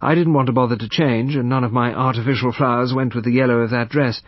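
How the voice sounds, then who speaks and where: A man voicing a brisk, defensive young upper-class woman in an audiobook, clipped and matter-of-fact. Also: medium pitch, steady tone, dry recording, muffled recording, natural voice. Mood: explaining, defensive. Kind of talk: audiobook character voice.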